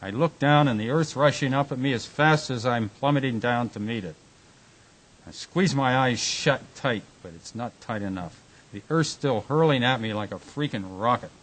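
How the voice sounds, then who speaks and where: An actor playing an anguished, brooding husband haunted by nightmares in a radio drama, speaking haltingly with big swings in intensity. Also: male voice, mid-range voice, a bit bright, slightly rough, rough recording. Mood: panicked. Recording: hissy amateur workshop recording.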